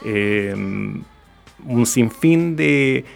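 Hesitant middle-aged Chilean man, slightly gravelly. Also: medium pitch, lively intonation, soft background music. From Puerto Varas, southern Chile. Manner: hesitant, halting. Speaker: interview guest, regional government official. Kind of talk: conversational radio interview.

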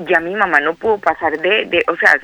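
A woman's voice: lowish voice, brisk, pushed and bright, lo-fi sound, faint music behind. A middle-aged Colombian woman speaking by phone, hesitant, with many fillers and repeated words. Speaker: guest caller. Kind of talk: phone interview.

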